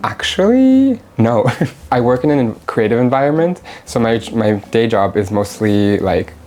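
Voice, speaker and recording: A woman's voice: extremely low pitch, very animated, measured pace, mellow. A woman in her thirties, from Mexico and raised in Canada, talking candidly and chattily as an interview guest at her home. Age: 30s. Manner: chatty, candid, laughs easily. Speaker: interview guest, drag performer. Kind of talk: casual interview.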